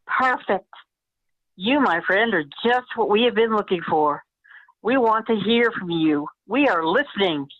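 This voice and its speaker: A woman playing an upbeat, polished city mayor in a radio play, speaking in measured political speeches. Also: mid-range voice, animated, phone-quality sound. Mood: smooth, welcoming. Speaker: amateur actor in a community radio play.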